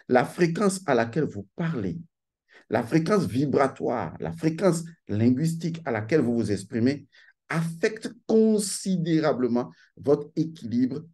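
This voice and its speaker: A man's voice: mid-range voice, mellow, breathy edge. A man giving an insistent, lecturing monologue, stressing and repeating his key points. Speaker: channel host giving a talk.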